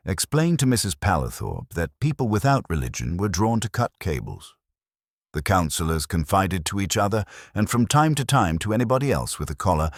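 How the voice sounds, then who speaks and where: A man narrating a wry mystery story in a literary, measured, dryly ironic manner. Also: low-pitched, no room sound, clean studio sound.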